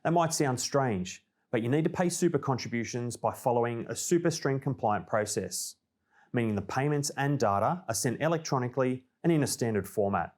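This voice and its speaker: A man's voice: medium pitch, lively intonation, brisk, a little mellow. Australian man presenting scripted business information. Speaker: presenter of a company newsreel.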